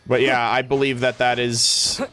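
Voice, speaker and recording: A man livestreaming, chatting casually with his viewers in a halting, thinking-aloud way. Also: mid-range voice, flat delivery, sharp tone, slight background noise. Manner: casual swearing. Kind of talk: casual chat with stream viewers. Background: music.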